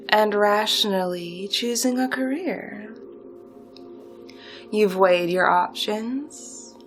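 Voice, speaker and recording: A woman playing a seductive, smugly delighted supervillain recruiter in a theatrical hypnosis roleplay, with deliberate phrasing that often dips toward whispers. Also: medium pitch. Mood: coaxing, delighted. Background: music.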